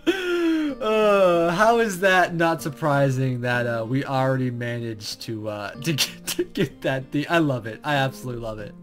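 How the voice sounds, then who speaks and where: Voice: mid-range voice, a little slow, faint music behind. A young adult hosting a game playthrough, chatting casually and reading the story text aloud. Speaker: gaming video host.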